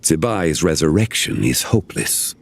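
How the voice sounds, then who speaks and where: A man voicing an ancient, composed immortal in a video game, solemn and courteous, with measured, archaic phrasing. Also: extremely low pitch, very animated, vocal fry, heavily acted. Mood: grave, measured. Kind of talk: scripted video game voice acting.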